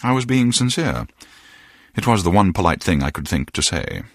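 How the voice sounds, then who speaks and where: A veteran secret agent for a spy game, an older mentor with a very low, husky voice. He is wry and dry-witted, with an expressive swing in his delivery. The sound is close and dry. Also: moderate pace, dry recording, heavily acted. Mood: wry, teasing. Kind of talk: video game voice acting.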